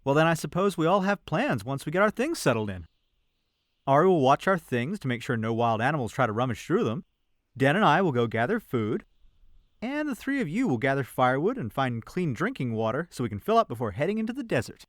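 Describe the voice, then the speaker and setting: A man's voice: mid-range voice, big pitch swings, a little fast, no room sound, studio quality, natural voice. A man playing an easygoing, helpful adventurer in an audio drama, with casual, friendly phrasing. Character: easygoing, helpful male adventurer and camp cook.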